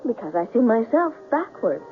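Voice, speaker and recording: A woman playing a tender, gently teasing young sweetheart in a 1970s radio drama, with simple, deliberate phrasing. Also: fairly high pitch, very animated. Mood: tender, coaxing. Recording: muffled old radio broadcast with music.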